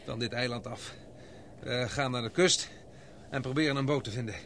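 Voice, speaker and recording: An actor playing a calm, resolute man who leads island survivors, in a measured, commanding Dutch radio drama style. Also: medium pitch, natural voice. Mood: grave, resolute. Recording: noisy old radio drama recording.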